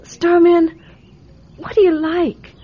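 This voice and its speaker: A woman's voice: very high voice, level tone, takes it slowly, warm tone. A woman playing an earnest, curious nine-year-old farm girl in a radio drama, with a childlike, hesitant, pleading manner. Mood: earnest, worried. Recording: dull radio recording, faint noise.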